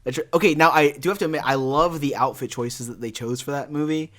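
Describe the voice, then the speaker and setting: A man's voice: fairly high pitch, brisk, fairly bright tone. A young American man in casual podcast discussion, giving his opinions as an emphatic fan. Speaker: podcast co-host. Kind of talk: casual panel chat about films and animation.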